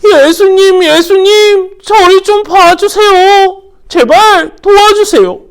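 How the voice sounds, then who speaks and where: A desperate blind beggar man, pitched high above a normal speaking voice, calling out slowly and loudly, as a woman storyteller puts him on. He stretches his words into long pleading cries in a storybook telling. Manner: loud, drawn-out pleading cries.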